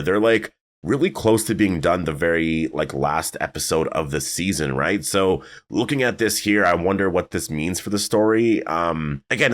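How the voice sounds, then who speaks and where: A deep-voiced man talks through his thoughts in a loose, rambling commentary, his voice raspy and slightly worn. He fills pauses with "uh" and "like" as he speculates. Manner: rambling, filler-heavy, thinking aloud. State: raspy, strained voice. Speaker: YouTube commentator. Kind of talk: casual video commentary.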